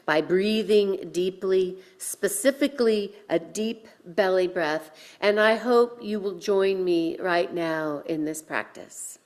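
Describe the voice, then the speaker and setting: Female minister, medium pitch, unhurried deliberate sermon delivery with spaced-out phrases. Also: darkish tone. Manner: deliberate, measured phrasing with pauses. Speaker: minister leading a worship service. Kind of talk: sermon with guided breathing.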